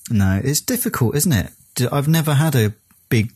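A man's voice: mid-range voice, lively intonation, moderate pace, a little mellow, husky, no room sound, clean studio sound. A man co-hosting a podcast, chatting casually with lots of ums and uhs and self-deprecating jokes. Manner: rambling, hesitant with many ums and uhs. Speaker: podcast co-host. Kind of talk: casual chat.

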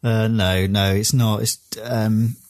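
A man's voice: fairly low pitch, steady tone, slow, darkish tone, dry recording. A man co-hosting a podcast, chatting casually with lots of ums and uhs and self-deprecating jokes. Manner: rambling, hesitant with many ums and uhs. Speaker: podcast co-host. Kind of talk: casual chat.

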